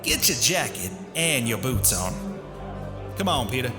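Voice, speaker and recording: A man's voice: medium pitch, big pitch swings, measured pace, music in the background. A man playing a playful, easygoing grandfather in audio drama dialogue. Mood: playful, coaxing.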